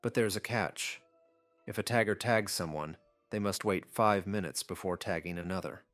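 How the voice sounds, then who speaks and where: Man voicing a deep, mocking, sadistic god. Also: normal speed, a bit bright, no echo, soft background music. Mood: mocking glee. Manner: mockingly amused, taunting, theatrical. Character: gleeful, mocking godlike game master, sadistic and menacing.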